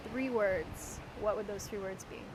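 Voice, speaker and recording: A woman in her thirties asking interview questions in a friendly, chatty way, quick to agree. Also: medium pitch, background noise. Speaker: interviewer and co-star. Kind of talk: casual interview chat.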